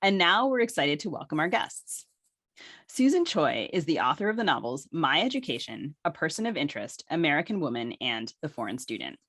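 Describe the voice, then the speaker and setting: A woman's voice: deep voice, fairly bright tone, no room sound, clean studio sound. A woman co-hosting a literary podcast, reading guest introductions and nominee lists with careful articulation. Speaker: podcast co-host.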